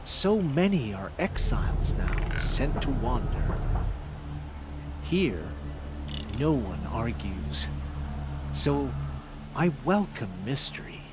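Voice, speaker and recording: Slow, contemplative shaman. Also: mid-range voice, big pitch swings. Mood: serene, reflective. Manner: measured, philosophical. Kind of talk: video game voice acting. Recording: loud ambient noise behind voice.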